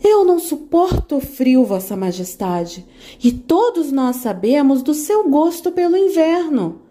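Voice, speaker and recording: A woman's voice: high-pitched, big pitch swings, measured pace, pitched above the performer's own voice. A woman voicing a polite young peasant girl in a children's story. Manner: gentle, polite and apologetic.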